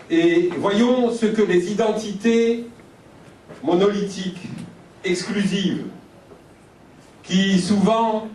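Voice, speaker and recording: A man from Algeria gives a conference talk in a high, light voice, thoughtful and measured as he stacks his points into lists. He is picked up from some distance away in a large, echoey hall, with a faint hiss of room noise underneath. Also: darkish tone. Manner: reflective, measured, with pauses and lists. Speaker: speaker at a conference. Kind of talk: lecture.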